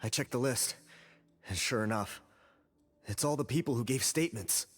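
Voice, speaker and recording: An actor playing an anxious, deferential prison guard in a video game. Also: male voice, medium pitch, normal speed, husky, natural voice. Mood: worried. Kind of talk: scripted game dialogue.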